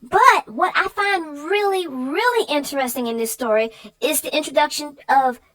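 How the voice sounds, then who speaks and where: A woman's voice: high voice, normal speed, a bit bright. A woman chatting casually and emphatically as a guest co-host on a children's book show. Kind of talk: casual chat about a picture book.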